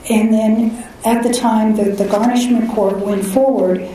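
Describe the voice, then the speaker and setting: Soft-voiced female attorney in a cavernous courtroom. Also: a little high, steady tone, unhurried. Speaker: attorney arguing an appeal. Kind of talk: courtroom oral argument. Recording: distant, very echoey courtroom audio, faint noise.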